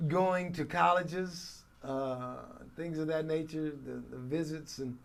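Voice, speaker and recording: Higher-voiced American man, polished and emphatic broadcaster. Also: slow pace, gravelly. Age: middle-aged. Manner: polished broadcaster delivery, emphatic, repeats phrases for effect. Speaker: radio program director and morning show host, interview guest. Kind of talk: animated conversational storytelling.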